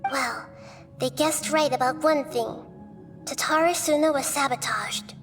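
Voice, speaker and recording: A calm, gentle girl goddess. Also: sits high. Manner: soft, patient, measured. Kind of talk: video game voice acting. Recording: game music under voice. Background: game background music.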